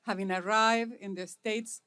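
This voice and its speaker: Middle-aged Chilean woman, Spanish native, formal ceremony speaker, deliberate phrasing, mid-pitched. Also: steady tone, a bit bright, clean tone. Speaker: speaker at an inauguration. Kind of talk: formal prepared speech.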